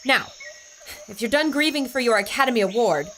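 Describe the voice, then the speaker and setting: A woman acting a plucky reporter, brisk, sharp-tongued, raised pitch, audio drama, faint noise behind. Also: animated. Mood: scolding.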